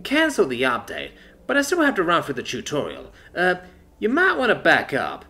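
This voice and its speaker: A man's voice: a little high, wildly swooping pitch, normal speed, sharp tone, pitched above the performer's own voice, full character voice. A man voicing an awkward, good-natured male space trucker who talks hesitantly with lots of fillers.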